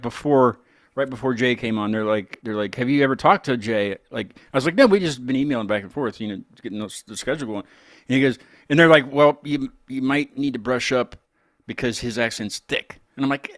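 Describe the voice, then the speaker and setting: American guy hosting a casual chat, rambly and stop-start, normal pitch, dry mic. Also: fairly bright tone, dry recording. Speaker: interview show host.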